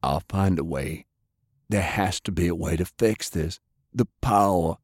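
Man acting a low, anguished war veteran. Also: measured pace, slightly rough, no echo. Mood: desperate pleading. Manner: hushed, anguished. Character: strong, grief-stricken war veteran hero, man. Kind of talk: dramatic audiobook character voice.

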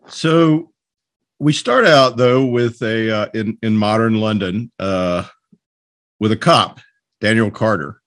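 A man with a fairly low voice hosts a book podcast. He moves between scripted announcements and interview talk in the role of presenter. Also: slow, a bit bright. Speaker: podcast host.

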